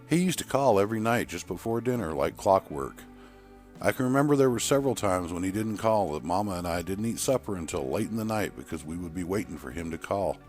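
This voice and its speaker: Man voicing a wistful woman remembering, animated pitch. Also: a little fast, soft background music. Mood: wistful, earnest. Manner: earnest, reflective storytelling. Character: wistful woman remembering her trucker father. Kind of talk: acted dialogue in an audio story.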